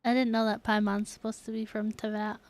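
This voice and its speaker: A woman's voice: mid-range voice, flat delivery, a little fast, background noise. A woman game streamer chatting casually to her live viewers as she plays.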